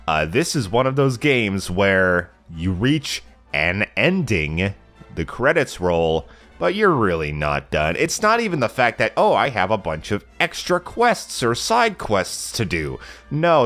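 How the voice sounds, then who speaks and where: A man's voice: on the low side, a bit bright. A young man with a stuffy, slightly nasal voice from a cold, casually rambling about a video game with plenty of fillers. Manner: rambling, lots of fillers and self-corrections. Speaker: let's play channel regular. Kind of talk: casual game review monologue.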